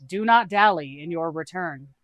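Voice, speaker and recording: A narrator voicing a solemn elder priest with projected, formal, measured speech. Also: medium pitch, animated, a bit bright, no echo, lightly acted. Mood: solemn, commanding. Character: solemn elder priest presiding over contest.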